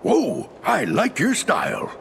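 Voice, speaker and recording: A man voicing a big, bumbling cartoon bully with a gravelly, blustering delivery and folksy, uneducated grammar in a video game. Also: on the high side, huge pitch swings, slow pace, slight background noise, exaggerated voice. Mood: gloating. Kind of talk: cartoon villain game acting. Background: game music.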